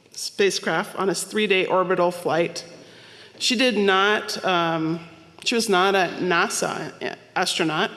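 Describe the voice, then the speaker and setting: A woman minister giving a sermon, telling stories in a conversational way with small asides. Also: lowish voice. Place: church sanctuary. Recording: long hall reverb.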